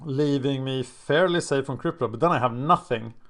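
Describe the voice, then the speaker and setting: A man with a Swedish accent talks through his card game as he plays, thinking aloud in a chatty way. His voice sits at an ordinary middle pitch. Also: normal speed, fairly bright tone.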